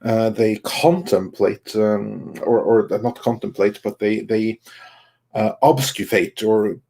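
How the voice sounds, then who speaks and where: A man giving casual panel commentary in a halting, long-winded explanatory way. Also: on the low side, warm tone.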